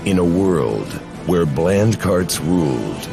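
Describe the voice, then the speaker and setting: A bombastic film-trailer announcer in a parody. The voice is rock-bottom deep and gravelly, drawn out slowly for epic weight over loud music. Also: male voice, big pitch swings, exaggerated voice.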